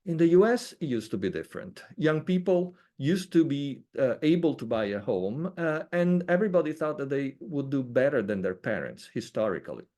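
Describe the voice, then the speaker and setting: A man from Italy giving a webinar lecture as an economist, halting with frequent fillers but emphatic. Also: medium pitch. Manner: hesitant, many uh fillers, lively emphasis. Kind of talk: lecture presentation. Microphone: video call.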